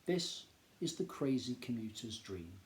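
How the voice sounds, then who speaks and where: A man reading a popular non-fiction book aloud like a teacher, explaining in a measured, expressive way. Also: medium pitch, slight background noise. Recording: echoey, roomy sound.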